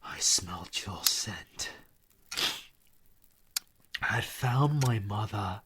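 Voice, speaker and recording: A British man playing a grieving, bitter werewolf villain in an audio roleplay, speaking in a theatrical, anguished, breathy manner. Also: lowish voice, animated, noisy background. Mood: haunted, sorrowful. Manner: slow, theatrical, anguished, often breathy and half-whispered. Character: grieving male werewolf villain, bitter and powerful, mourning his mother. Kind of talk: scripted audio roleplay monologue.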